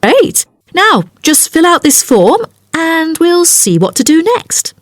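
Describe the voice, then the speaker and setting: A woman playing a helpful, businesslike job agency adviser in a scripted listening-exercise dialogue, explaining things clearly. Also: very high voice, big pitch swings, slow pace, dry recording, clean studio sound, exaggerated voice.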